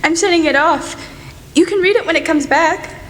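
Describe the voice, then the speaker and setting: A woman's voice: extremely high pitch, normal speed, a bit bright. A young woman acting in a student radio play as an earnest, spirited young writer. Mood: bright, insistent. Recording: noisy hall with long reverb.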